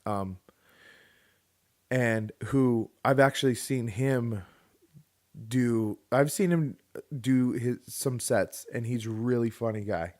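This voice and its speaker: A man's voice: medium pitch, takes it slowly. A man in his late thirties chatting on a podcast, his voice sitting in an ordinary middle range. He tells a long, rambling story and jumps into the voices of the people in it. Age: 30s. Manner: rambling, animated, acts out quoted people. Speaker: podcast co-host. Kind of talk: casual chat, storytelling.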